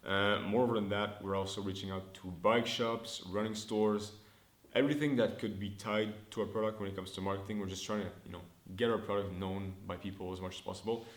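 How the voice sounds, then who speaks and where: A young man talks in a fairly low voice, chatty and upbeat, thinking out loud and restarting his sentences. He records himself in a room that gives the sound a noticeable echo. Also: fairly even intonation, brisk. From Montreal, Canada. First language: French. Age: early 20s. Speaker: startup founder. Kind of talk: casual business vlog update. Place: indoor room, filmed on his own camera.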